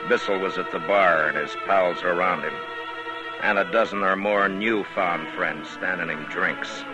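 Stern weary western marshal, really low voice, american, terse, old radio show w music behind. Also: male voice, fairly even intonation, a little slow, lo-fi sound. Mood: weary, brooding. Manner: terse, commanding. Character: stern, weary frontier marshal, a grown man. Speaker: lead actor and first-person narrator. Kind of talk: 1950s radio western acting and narration.

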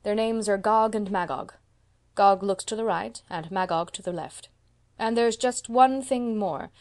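A woman's voice: medium pitch, unhurried, darkish tone, close to an everyday voice. A woman voicing a proud, decisive seventy-year-old spinster who owns a house and speaks with emphasis. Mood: emphatic. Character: brisk, proud elderly spinster landlady, 70.

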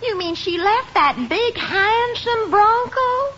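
An actress playing a coy, flirtatious Southern belle widow with a gushing, drawn-out delivery and Southern accent in a 1950s radio comedy. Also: female voice, extremely high pitch, a bit bright, exaggerated voice. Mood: playful, coy. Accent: American South. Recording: muffled, noisy vintage radio recording.

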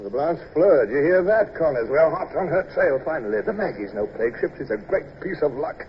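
An actor playing a brisk, blustering but humane British naval commodore in a radio drama, with an authoritative, clipped, theatrical delivery. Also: male voice, a little high, lively intonation, lightly acted. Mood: excited, eager. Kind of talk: old-time radio drama acting. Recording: dull, noisy old radio recording.

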